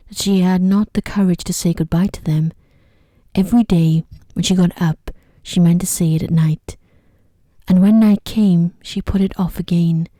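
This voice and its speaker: A woman narrating an ASMR sleep story in a hushed, gentle voice that drifts into whispers. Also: on the low side, dry recording, clean studio sound. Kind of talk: soft-spoken ASMR bedtime story reading.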